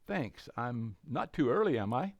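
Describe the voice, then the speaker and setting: A very low, gravelly voice a woman playing a cocky young suitor in a radio comedy. The delivery is brash, chatty and eager, with lively swings. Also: measured pace, no room sound, exaggerated voice. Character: cocky, eager young man on a blind date. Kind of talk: radio comedy stage acting.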